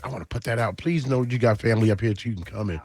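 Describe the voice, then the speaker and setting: A man's voice: on the low side, rapid-fire, a little mellow. A man hosting a casual radio show, chatting effusively and chiming in often. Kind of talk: casual talk radio chat. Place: radio studio. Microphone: studio microphone.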